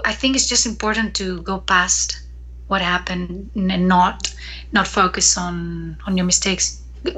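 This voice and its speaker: Bright-voiced Greek-born woman singer. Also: medium pitch. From Greece. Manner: thoughtful, restarts and pauses mid-sentence. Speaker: professional singer, guest. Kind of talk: casual interview chat.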